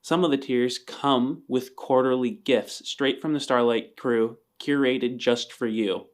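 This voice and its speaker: A man's voice: mid-range voice, fairly even intonation, a little slow. A man speaking as a game master and podcast host, addressing the listener directly. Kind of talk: podcast announcement, then slow scripted scene narration. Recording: music under the voice.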